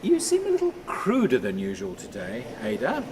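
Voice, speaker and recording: An actor playing an anguished, haunted man in a radio play, halting and volatile as he pleads and broods aloud. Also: mid-range voice, huge pitch swings, noisy background. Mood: halting, subdued. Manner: halting, volatile, erupting from muttering into cries.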